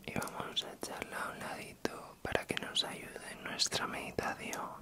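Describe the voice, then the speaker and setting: A man with an accent from Spain whispering an ASMR guided meditation in a soft, soothing, drawn-out way. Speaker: ASMR channel host.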